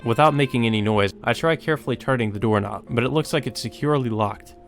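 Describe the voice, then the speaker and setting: A man's voice: medium pitch, fast, faint music behind. A man narrating a visual novel aloud as a let's play host, with brief asides of his own.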